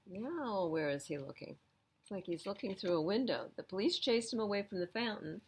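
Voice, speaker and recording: A woman's voice: mid-range voice, animated, normal speed, a little hiss or hum. A woman reading a picture book aloud for children at a storytime, adding conversational asides about the pictures. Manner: explains pictures and adds gentle asides to young listeners. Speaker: children's storytime reader at a library.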